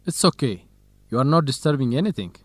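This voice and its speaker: A man's voice: mid-range voice, expressive, brisk, no room sound, close to an everyday voice. A man playing a cautious, good-natured young graduate student in an educational radio drama. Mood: relaxed, friendly.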